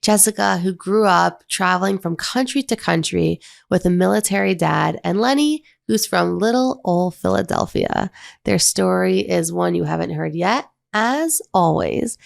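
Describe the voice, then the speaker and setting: An American woman hosts a podcast in a conversational way. Her pitch is average for a woman, and the studio recording is very dry, with little room sound. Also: big pitch swings, normal speed, vocal fry, no room sound. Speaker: podcast host.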